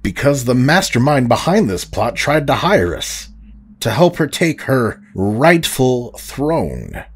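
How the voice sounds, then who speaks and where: A man playing a remorseful middle-aged space pirate, earnest and halting, in an audio drama. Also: mid-range voice, very animated, husky, full character voice.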